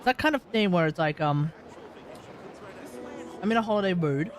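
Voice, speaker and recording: High-voiced man streaming games, music behind. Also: animated, normal speed. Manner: rambling, thinking aloud. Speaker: livestream host. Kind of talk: casual gaming livestream chat.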